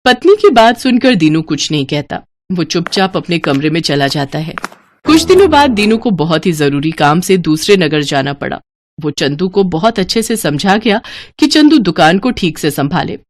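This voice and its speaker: A woman's voice: fairly low pitch, sing-song, normal speed, no echo. A woman narrating a children's moral tale as scripted storytelling.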